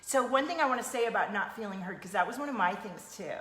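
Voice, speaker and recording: A woman's voice: mid-range voice, lively intonation, quick pace, a bit bright. A woman working as an energy coach, talking casually with a client in an affirming, explanatory way. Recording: echoey room with background noise.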